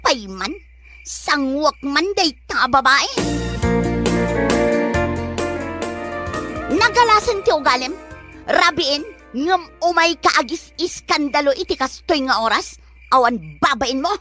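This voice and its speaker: A woman playing a possessive, quarrelsome young woman in an Ilocano comedy radio drama, with an Ilocano accent and drawn-out exclamations. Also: high-pitched, animated, over music. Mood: indignant.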